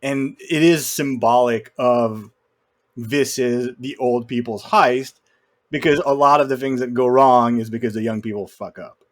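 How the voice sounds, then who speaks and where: A man's voice: medium pitch, fairly even intonation, measured pace. A man with a mid-range voice co-hosts a film podcast. He talks casually, explaining his points as he goes. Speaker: podcast co-host.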